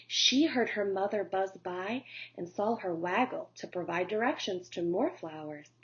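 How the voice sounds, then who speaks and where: A woman teacher reading a children's picture book aloud in a slow, gentle storytelling manner. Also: mid-range voice. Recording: dull lo-fi recording.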